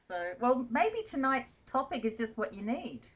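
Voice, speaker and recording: Chatty, laughing woman host. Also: high voice. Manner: laughs often, self-deprecating, rambling. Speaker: magazine editor and show host. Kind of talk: casual chatty interview.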